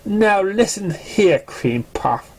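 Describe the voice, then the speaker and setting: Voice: medium pitch, animated, slow pace, husky, voice pushed up, exaggerated voice. A narrator voicing a brash, protective young pony who threatens and insults cockily in a storybook reading. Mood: threatening.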